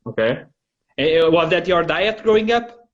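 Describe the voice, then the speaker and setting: A man's voice: a little high, animated, a little slow, muffled recording. A young Portuguese man hosting a casual video interview, friendly and often repeating words as he starts a sentence. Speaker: host.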